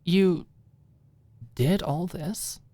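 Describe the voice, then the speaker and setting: A woman's voice: fairly low pitch, very slow, dark tone, no echo, pitched above the performer's own voice. A woman playing a guarded young woman with a teasing, wry wit in an audiobook. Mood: stunned.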